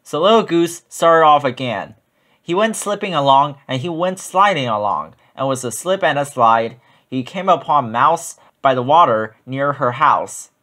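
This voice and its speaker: Male storybook narrator for young children: expressive, lively melodic range, medium pitch. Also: measured pace. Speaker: children's book reader.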